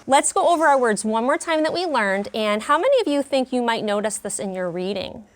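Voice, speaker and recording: A woman's voice: a little high, sing-song, a little fast, a little hiss or hum. A woman teacher leading a classroom lesson for young children, speaking expressively and prompting them with questions. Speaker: elementary school teacher.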